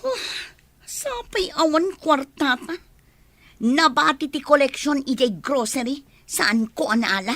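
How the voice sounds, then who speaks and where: A woman's voice: sits high, animated, a little slow, a bit bright, dry recording, exaggerated voice. A woman playing a regretful, resigned crook in a radio drama, with an Ilocano accent. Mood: worried.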